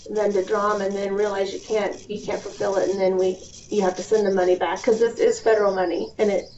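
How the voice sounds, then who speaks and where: A woman's voice: medium pitch, darkish tone. A woman giving an informal, explanatory instructional presentation over a conference phone call, stressing key points as a program presenter. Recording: dull, lo-fi phone call with background hiss.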